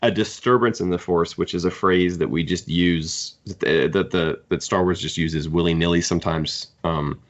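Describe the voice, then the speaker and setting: A man's voice: sits low, fairly even intonation, normal speed, few pauses, dull audio. A man co-hosting a podcast, giving critical opinions in casual book discussion. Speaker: podcast host.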